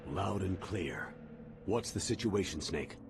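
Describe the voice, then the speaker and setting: An actor playing a stern older military commander, giving measured, authoritative mission briefings in a video game. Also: male voice, low voice, expressive, creaky, noisy background, heavily acted. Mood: stern, businesslike. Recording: music under the voice.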